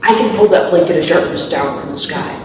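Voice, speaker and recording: A woman's voice: fairly low pitch, a little fast, slight background noise, lightly acted. Woman telling it as a confident grizzly bear. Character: big strong male grizzly bear, confident. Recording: echoey hall from far off.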